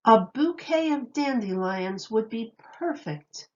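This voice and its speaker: A woman's voice: fairly high pitch, expressive, slow, muffled recording. A woman voicing a dapper male lion in a storybook, eager and indignant, with emphatic exclamations. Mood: eager, polite.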